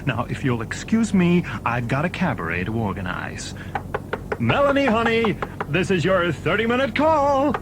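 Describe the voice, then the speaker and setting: Casting: actor as nervy, slick cabaret boss, raised pitch, bouncy delivery, informal, easily cowed. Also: male voice, sing-song, moderate pace, background noise. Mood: exasperated, uneasy. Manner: informal, exasperated, jittery patter. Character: Mr Valoni, harried male cabaret organiser, slick but cowed. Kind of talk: audio drama acting.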